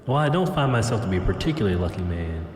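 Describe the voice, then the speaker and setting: A man playing a wry, reckless cyberpunk operative, narrating his own adventure in an audio drama with deadpan theatricality. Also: on the low side, racing. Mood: musing, wry. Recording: roomy reverberant voice over music bed.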